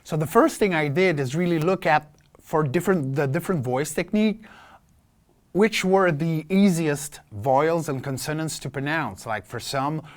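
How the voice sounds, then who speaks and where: A man explaining his creature-voice craft in a making-of interview, haltingly, repeating words as he searches for the next. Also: on the high side. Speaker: vocal performer and creature-voice specialist.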